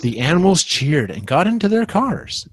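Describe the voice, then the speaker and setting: A man's voice: on the high side, sing-song, normal speed, no room sound. A man, a podcast co-host, reading a comic parody storybook aloud.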